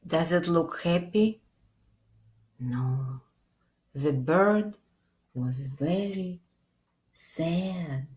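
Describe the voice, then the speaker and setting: A middle-aged woman reads a picture book at storytime in a low voice. She goes very slowly and addresses young children gently and expressively. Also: lively intonation. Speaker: children's librarian. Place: small indoor room.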